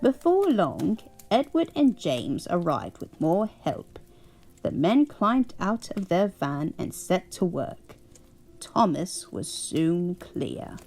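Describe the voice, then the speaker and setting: A woman putting on a husky old English granny. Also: on the low side, sing-song, slow pace, a little mellow, faint music behind, heavily acted, low-quality recording. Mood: cozy. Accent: put-on English. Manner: doting, chuckling, fussing over a grandchild. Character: kindly elderly English grandmother storyteller. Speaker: storyteller. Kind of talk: bedtime story reading.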